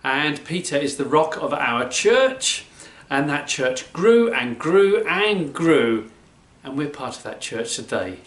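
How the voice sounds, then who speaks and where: An older man telling a Bible story to children in an emphatic, deliberate way. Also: fairly high pitch, very animated, fairly bright tone. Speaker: children's church presenter. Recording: roomy, echoing indoor sound.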